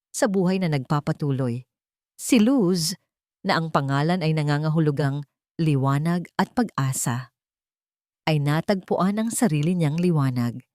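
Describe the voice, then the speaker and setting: Filipina lady narrating an audiobook drama, low voice, really expressive, dry close sound. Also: no room sound. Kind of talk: scripted dramatic story narration.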